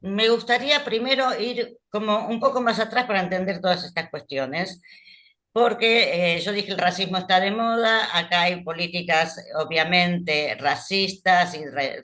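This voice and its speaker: A woman's voice: mid-range voice, moderate pace, bright, forceful tone. A woman interviewer who politely cuts in to steer the conversation back to basics. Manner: polite, apologetic when cutting in. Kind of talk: interview questions.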